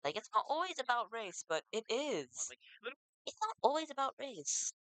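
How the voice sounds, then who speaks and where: A teenager, talking in a casual confessional vlog, halting and full of restarts, earnest and emotional. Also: mid-range voice, sing-song, fairly bright tone, low-quality recording. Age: teens. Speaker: vlogger.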